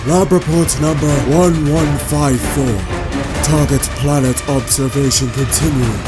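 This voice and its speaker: A man's voice: on the high side, natural voice. A man voicing a coldly logical robot scientist villain, dictating formal, precise audio logs with a clinical, condescending manner in a fan-made sci-fi drama.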